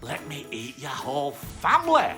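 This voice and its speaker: A hulking storybook troll played by a woman reader speaks low and deliberately. He is blunt, hungry and taunting, leaning on his words with big swings of pitch. Music plays behind. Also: wildly swooping pitch, fairly bright tone, full character voice. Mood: taunting, menacing.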